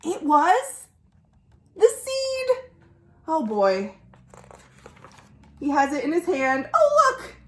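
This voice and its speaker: A woman's voice: sits high, huge pitch swings, drawn-out words, long pauses. A woman hosting a storytime for young children, speaking expressively and directly to her young listeners. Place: indoors at home.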